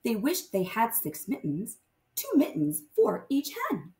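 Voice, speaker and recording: A woman teaching primary math to young children, reading aloud and explaining deliberately with sing-song emphasis on key words. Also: on the high side, sing-song, a little mellow, reverberant.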